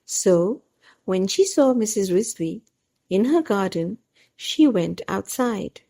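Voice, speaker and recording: A woman reads a children's storybook as narrator. Her pace is slow and careful, each phrase set apart, and her pitch moves up and down with plenty of expression. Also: animated, gravelly, mellow. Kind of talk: scripted read-along narration for children.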